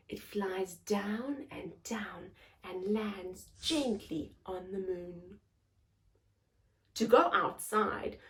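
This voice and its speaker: A woman's voice: mid-range voice, darkish tone. A woman hosting a story time, reading aloud expressively to young children in simple phrases and putting questions to them.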